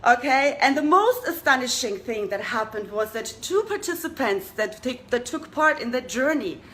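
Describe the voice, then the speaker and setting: A woman's voice: on the high side, animated, moderate pace, a bit bright, a little hiss or hum. A young woman from Germany hosting a talk show, speaking to an audience in an earnest way and asking them rhetorical questions. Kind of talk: addressing an audience.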